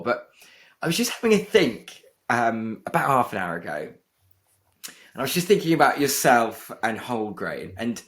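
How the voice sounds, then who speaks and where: Male podcast host, casual, hesitant delivery full of restarts, mid-range voice. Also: medium pitch. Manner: hesitant, many restarts and fillers.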